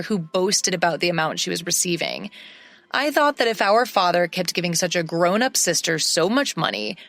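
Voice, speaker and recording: A woman reading a first-person story as narrator in a steady scripted telling, close to the mic. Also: on the low side, creaky, no echo.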